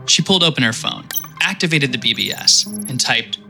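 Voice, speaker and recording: Male host-narrator for a sci-fi audio drama, very bright tone, faint music underneath. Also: medium pitch, lively intonation, moderate pace, gravelly.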